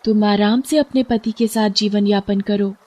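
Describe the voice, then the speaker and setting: Lady doing a magical mama fish for a cartoon fable, high voice, sweet n pleading. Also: fairly even intonation, moderate pace, dark tone, lightly acted. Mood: grateful, kind. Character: pleading, grateful mother fish with magical powers. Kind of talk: moral storybook cartoon voice acting.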